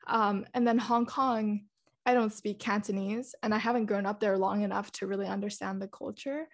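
A woman's voice: medium pitch, fairly even intonation, moderate pace. A young woman from Singapore talks in a loose, chatty way. She sounds a little self-conscious and fills her sentences with filler words. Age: young adult. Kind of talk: casual chat.

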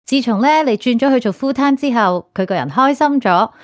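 Actress as a higher-voiced Hong Kong Cantonese office woman. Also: expressive, moderate pace, dry recording, studio quality, close to an everyday voice. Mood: chatty, deferential. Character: chatty, deferential female office assistant. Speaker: radio drama actress. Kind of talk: radio drama dialogue.

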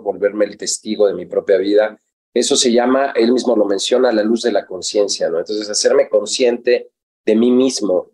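A man's voice: lowish voice, mellow. Reflective male life coach. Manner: reflective, with frequent fillers and restarts. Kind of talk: conversational interview.

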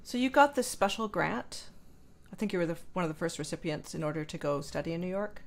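A woman's voice: on the low side, fast talker, vocal fry. A woman interviewer who is a violinist, asking curious, probing questions at a careful pace.

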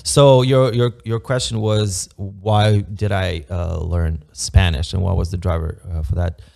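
A man with a Moldovan accent talks in a very low, gravelly voice with a dark, mellow tone. He chats casually and hesitantly, full of ums and uhs, and laughs easily between thoughts. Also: level tone, unhurried. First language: Romanian. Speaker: guest. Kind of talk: casual chat.

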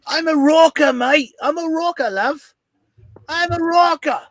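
A man's voice: very high voice, measured pace, a bit bright. A man putting on a goofy rock-and-roll dude in improvised comic banter, with a messed-up accent wandering between British and Australian. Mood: cocky, playful. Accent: put-on, wandering between British and Australian. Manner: silly, repetitive, swooping.

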